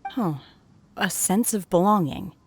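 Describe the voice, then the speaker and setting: An actress playing a reserved, earnest young woman outsider in a video game, speaking with quiet restraint. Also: mid-range voice, a little slow, a little hiss or hum, close to an everyday voice. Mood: earnest, unsure. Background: music.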